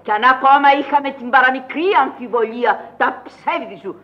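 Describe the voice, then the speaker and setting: An actress in a Greek radio play, playing an imperious, eccentric countess with a commanding, theatrical delivery. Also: female voice, high-pitched, lightly acted. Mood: outraged, commanding. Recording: muffled old radio recording, faint noise.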